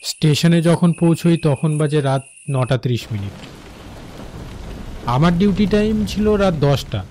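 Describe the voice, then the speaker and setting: A man playing an uneasy night-shift railway worker, narrating his own horror story with suspense in an animated cartoon. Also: fairly high pitch, slight background noise, lightly acted. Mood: calm recounting. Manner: suspenseful, with fearful repeated phrases. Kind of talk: first-person dramatic horror story narration.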